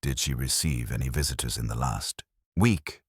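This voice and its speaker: A man voicing a courteous, thoughtful English police detective who speaks in a measured, probing way in a mystery story. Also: extremely low pitch, slightly rough, no room sound, studio quality, full character voice. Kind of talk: dramatised mystery audiobook.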